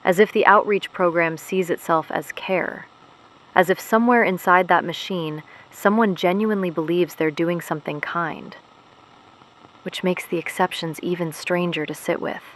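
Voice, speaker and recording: A woman playing a solemn, protective resistance broadcast host in an audio drama, narrating in a measured, reflective manner. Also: on the low side, lightly acted, low-quality recording. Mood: haunted, pondering.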